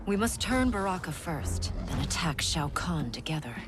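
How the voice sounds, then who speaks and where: A woman's voice: sits low, sing-song, moderate pace, music in the background. A woman playing a determined, persuasive warrior princess in a video game, poised and formal. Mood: resolute.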